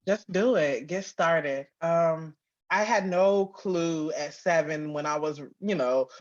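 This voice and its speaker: A woman's voice: sits low, slow. A woman giving a casual, informal interview, thinking aloud and repeating phrases as she goes. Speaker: interview guest, audio drama writer. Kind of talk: casual chat. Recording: slightly dull, lo-fi audio.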